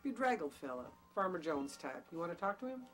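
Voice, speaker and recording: A woman's voice: fairly low pitch, big pitch swings, fairly quick, fairly bright tone, soft background music. A woman playing a worldly, breezy co-owner of a dance hall in a drama, talking in a casual, clipped, coaxing way. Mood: wry. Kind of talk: dramatic dialogue in an audio play or table reading.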